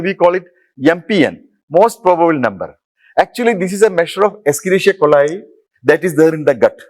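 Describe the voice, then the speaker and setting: A middle-aged man from Kerala, speaking English with a Malayalam accent, giving a university lecture and often asking the audience questions. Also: fairly high pitch. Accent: Malayalam-influenced Indian English. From Kerala, India. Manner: interactive, asks audience questions, explains step by step. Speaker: professor, lecturer. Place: lecture hall.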